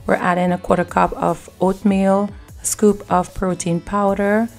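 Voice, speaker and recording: A woman hosting a cooking video, giving calm step-by-step recipe instructions in voice-over. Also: medium pitch, a little slow, gravelly, soft background music. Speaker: cooking channel host.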